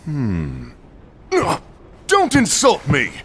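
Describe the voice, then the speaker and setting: An actor playing a proud, hot-tempered male warrior in an animated fantasy film, blunt and confrontational in manner. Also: on the high side, very slow, a little hiss or hum, heavily acted. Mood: offended.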